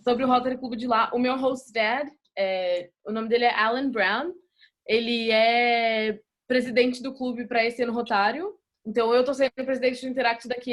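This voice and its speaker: A young woman with a Brazilian Portuguese accent who has just returned from a student exchange. She talks casually at a club meeting, with many fillers and restarts. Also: a little high. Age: young adult.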